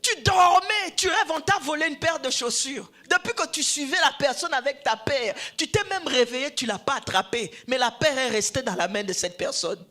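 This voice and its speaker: A woman whose first language is Swahili, preaching a sermon, dropping to hushed whispers and then rising to shouts, repeating phrases for emphasis. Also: high-pitched, lively intonation, moderate pace, hardly any pauses, faint music behind. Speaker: preacher, addressed as apostle.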